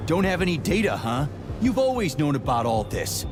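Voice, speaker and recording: Hot-headed soldier with swooping pitch. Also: male voice, sing-song, normal speed. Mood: angry, accusing. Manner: blunt, sarcastic, swearing. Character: hot-headed, confused male soldier, a gruff Major. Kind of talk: dramatic video game voice acting. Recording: game music under the dialogue.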